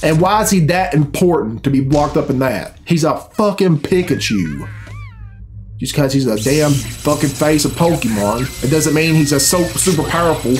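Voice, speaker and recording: Male reaction host, middle-aged, slightly high voice, casual and sweary, bemused off-the-cuff delivery over clear background music. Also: animated, moderate pace. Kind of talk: casual, unscripted reaction commentary. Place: small room at home, indoors. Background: game cutscene music.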